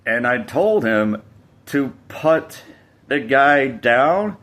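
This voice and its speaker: A man's voice: mid-range voice, sing-song, extremely slow, a bit bright. A man casually telling a personal story, hesitant, with fillers and restarts.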